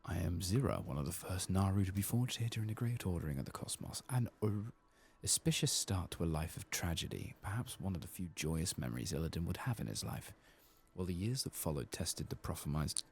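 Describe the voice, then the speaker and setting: Man reading as a deep, solemn ancient celestial being. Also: very animated, brisk, creaky, hardly any pauses, slight background noise, exaggerated voice. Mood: solemn, grave. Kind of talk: fantasy game quest reading.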